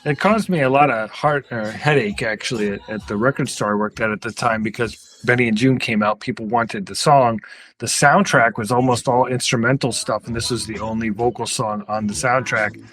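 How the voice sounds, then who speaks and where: A man's voice: medium pitch, normal speed, a bit bright. A middle-aged man co-hosting a podcast in casual, conversational chat, reminiscing. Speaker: podcast co-host.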